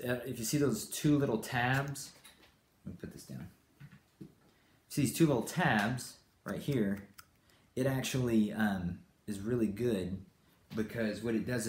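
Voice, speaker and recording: Low-voiced man, rambling live-stream chat. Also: darkish tone, echoey room. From Brazil. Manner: rambling, with many fillers and restarts. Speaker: channel host.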